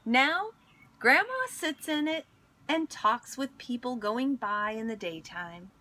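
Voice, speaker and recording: A woman reading a picture book aloud in an expressive storytelling manner, pausing to speak to young listeners. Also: a little high. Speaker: school instructional coach reading aloud.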